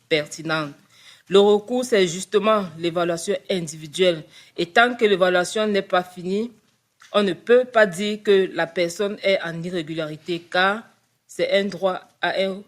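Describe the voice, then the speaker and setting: A woman reading a prepared statement on legal rules at a meeting, stumbling and restarting over the formal wording. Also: fairly low pitch. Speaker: speaker at a parliamentary meeting.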